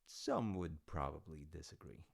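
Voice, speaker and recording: A man's voice: a bass voice, sing-song, measured pace, vocal fry, husky, exaggerated voice. A man playing an affectionate, proud dad in an audio roleplay, rambling through stories in a musing, conversational way with hesitant asides. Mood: musing, fond. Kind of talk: intimate rambling storytelling roleplay.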